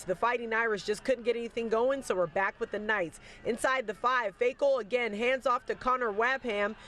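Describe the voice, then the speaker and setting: A woman reporter narrates football highlights in a steady, scripted newscast delivery. Her tone stays even and polished from play to play. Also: a little hiss or hum. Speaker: sports reporter.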